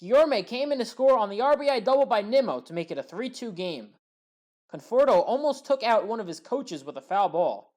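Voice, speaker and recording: A woman's voice: a little high, lively intonation, fairly quick, a little mellow. A woman delivers scripted baseball play-by-play recap commentary, her voice lifting on the action and settling as each play ends. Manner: rises on action, drops at each play's end.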